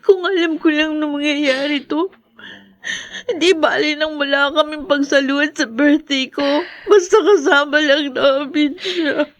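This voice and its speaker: A very high-voiced young Filipino daughter in a radio drama. She is meek and grieving, and speaks in a tearful, pleading way. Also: fairly even intonation, measured pace.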